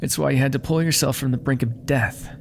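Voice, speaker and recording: A man's voice: medium pitch, brisk, vocal fry, a little hiss or hum, close to an everyday voice. A man playing a proud, menacing demon dealmaker in an ASMR roleplay, theatrical and condescending, coaxing with whispered asides. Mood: smug, businesslike.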